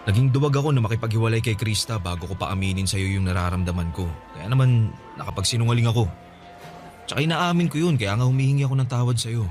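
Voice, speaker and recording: A man's voice: mid-range voice, fast talker, darkish tone, soft background music, close to an everyday voice. An actor voicing a remorseful young man who pleads hesitantly and earnestly for another chance in a radio drama. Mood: pleading. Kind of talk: Tagalog radio drama acting.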